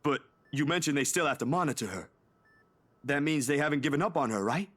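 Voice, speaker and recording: Husky, worried young man. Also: on the high side, animated, fairly quick, a bit bright. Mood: upset, insistent. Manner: pleading, questioning, faltering. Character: worried young man, desperately clinging to hope. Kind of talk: visual novel voice acting, dramatic.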